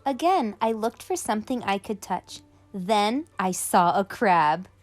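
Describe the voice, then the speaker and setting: A woman's voice: medium pitch, animated, measured pace, faint music behind. A woman hosting a children's read-aloud, speaking expressively and directly to young listeners.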